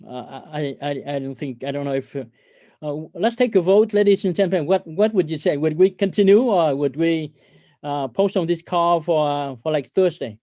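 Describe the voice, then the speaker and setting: A man speaking as a company executive on an investor conference call, halting and hesitant as he presents, often stammering over his words. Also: a little high, mellow, no echo. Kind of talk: corporate earnings call presentation.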